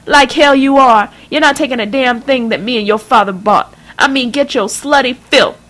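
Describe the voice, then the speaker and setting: A woman voicing an enraged, cursing middle-aged mother who shouts through tears. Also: high-pitched, moderate pace, voice pushed up. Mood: furious.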